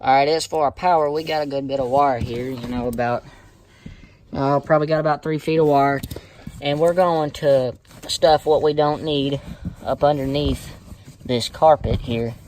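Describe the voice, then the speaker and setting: A plainspoken, folksy man giving a casual hands-on tutorial, talking hesitantly in short phrases with pauses. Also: fairly high pitch, fairly even intonation, a little mellow. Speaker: how-to video presenter. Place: inside a parked car. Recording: noisy amateur recording with clicks.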